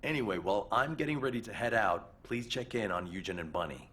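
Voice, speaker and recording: An actor playing a composed, thoughtful male military officer in a video game, briefing in a measured, matter-of-fact way. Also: fairly low pitch, animated, fairly bright tone, slight background noise. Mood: serious. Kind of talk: scripted video game dialogue.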